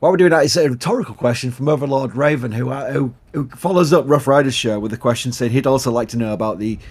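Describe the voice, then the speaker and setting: A man's voice: medium pitch, fast, a little mellow, slightly rough. A man with a Yorkshire accent hosting a casual live commentary stream, chatty, laughing often and reading out viewer questions. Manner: chatty, laughs often, thanks supporters, restarts a lot. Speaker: livestream host.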